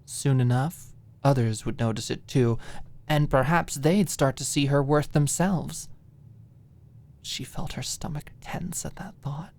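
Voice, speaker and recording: A woman narrating scripted fiction as an ASMR audiobook, with expressive, dramatic storytelling. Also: rumbling low.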